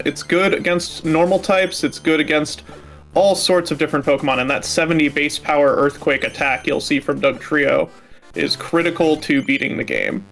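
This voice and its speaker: A man with a slightly high voice commentates live on a speedrun race. He explains things informally as he goes, with faint music behind him. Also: fairly bright tone.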